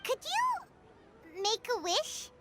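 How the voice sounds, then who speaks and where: A woman's voice: very high-pitched, wildly swooping pitch, very slow, over loud music, full character voice. A woman voicing a tiny, bossy and curious fairy companion girl in a video game, cutesy and emphatic. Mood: coaxing, impatient. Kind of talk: video game character dialogue. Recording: loud background sound behind voice.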